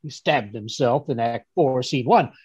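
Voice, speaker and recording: A man playing a genial, fussy older English gentleman, chattily recounting his adventures in old-time radio drama style, with a husky voice. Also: medium pitch, a little slow, dry recording. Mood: flustered, animated. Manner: chatty, chuckling, rambling storyteller with stammered asides. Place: home room on a group video call. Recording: dull, dry video-call audio.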